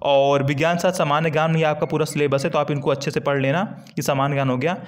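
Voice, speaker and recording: A man's voice: a little high, steady tone, quick pace. A man gives an informal, conversational explainer as an exam-preparation host, repeating key points as he walks through a document. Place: screen-recorded voice-over.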